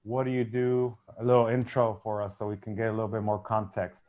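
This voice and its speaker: A man's voice: fairly low pitch, completely flat delivery, brisk, lo-fi sound. A man hosting an interview show, upbeat and talking straight to his audience as "guys". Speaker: show host.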